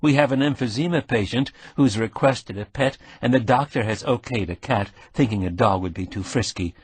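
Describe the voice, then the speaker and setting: A man voicing a brisk, businesslike woman who organizes volunteers. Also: medium pitch, breathy edge, no room sound, lightly acted.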